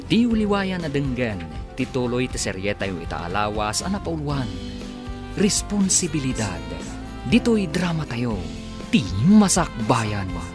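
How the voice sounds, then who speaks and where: A male Ilocano radio drama announcer with a mid-pitched, very animated delivery. He reads scripted narration and credits dramatically over a clear music bed.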